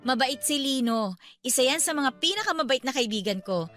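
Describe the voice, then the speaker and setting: A persuasive, bubbly young woman pushing a friend in a radio drama. Her high voice swings animatedly from coaxing to emphatic, with lots of bright energy. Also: lively intonation, fairly quick, faint music behind. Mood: persuasive, boastful. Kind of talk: Tagalog radio drama acting.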